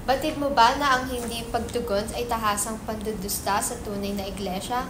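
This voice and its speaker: An imperious church minister, played by a woman in a dramatic reading, with a fairly high voice and formal, preachy delivery. The pompous, demanding speech is captured in an echoey room with clear background noise. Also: steady tone, normal speed, natural voice.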